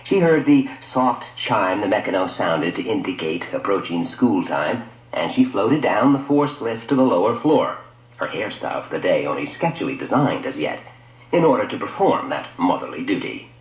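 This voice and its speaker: A man's voice: deep voice, big pitch swings, moderate pace. A man narrating a scripted science fiction story in a dramatic radio storytelling style. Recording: dull lo-fi audio, echoey room.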